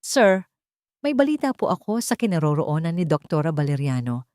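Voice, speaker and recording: A woman's voice: low-pitched, big pitch swings, normal speed, a little mellow, no echo, studio quality. A woman voicing a deferential male aide in an audiobook drama, reporting respectfully and urgently.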